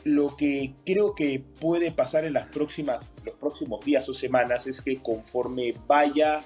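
A man from Peru giving measured political analysis as an interview guest, with many hesitations. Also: medium pitch, darkish tone, soft background music. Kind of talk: interview on air.

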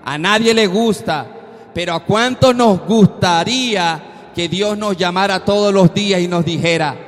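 A man with a very high voice delivers a sermon at a slow, deliberate pace. He exhorts his listeners earnestly and breaks into loud emphatic peaks. His voice rings through a long reverberant hall. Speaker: preacher.

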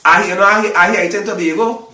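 A man's voice: sits high, fast, pushed and bright. A Trinidadian man being interviewed in casual chat, often repeating words and checking "you understand?". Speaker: interview guest, singer. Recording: echoey room, mic at a distance.

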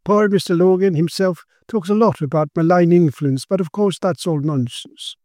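A man's voice: sits high, normal speed, warm tone, dry recording, clean studio sound, pitched above the performer's own voice, close to an everyday voice. A man voicing an earnest, puzzled, grey-haired country doctor with a hesitant manner in a gothic story. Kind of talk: dramatised gothic horror story reading.

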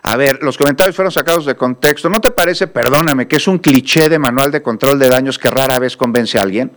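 A man's voice: medium pitch, brisk. A man hosting a news interview, pressing his guest with pointed questions and adding critical commentary.

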